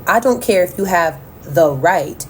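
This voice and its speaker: Woman, blunt scolding tone, lowish pitch, slight background rumble. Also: animated, a little slow. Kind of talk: casual social media rant.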